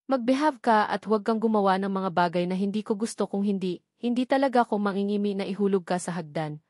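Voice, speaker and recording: A woman's voice: mid-range voice, fast, a little mellow, no echo, close to an everyday voice. A curt young Filipino man from a romance audiobook, read by a woman, stern and easily annoyed. The delivery is cold, in a dry recording. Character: cold, irritable young man, reluctant fiancé.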